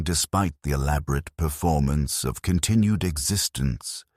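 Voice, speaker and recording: A man narrating a dark first-person sci-fi story as a bleak, resigned dead convict soldier, in a grave, measured, deliberate way. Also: extremely low pitch, vocal fry, no echo, studio quality. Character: bleak, resigned ghost of a condemned legionnaire.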